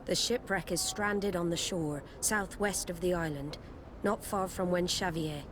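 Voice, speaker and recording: An actress playing a commanding, solemn female admiral in a video game, speaking formally and deliberately. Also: fairly low pitch, background noise, close to an everyday voice. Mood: solemn, authoritative. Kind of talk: dramatic game dialogue.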